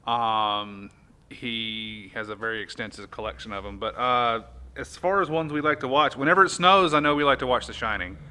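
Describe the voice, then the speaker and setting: A man with an American accent hosts a relaxed, conversational podcast. His voice is bright and forward, and he talks with a playful, wry streak. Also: medium pitch, moderate pace, clean tone. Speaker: podcast host. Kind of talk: casual chat.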